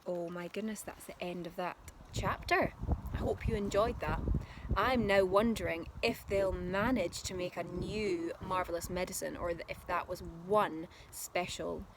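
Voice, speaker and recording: A woman's voice: fairly low pitch, steady tone, unhurried, background noise. A woman reading a children's story aloud expressively, like a primary school teacher.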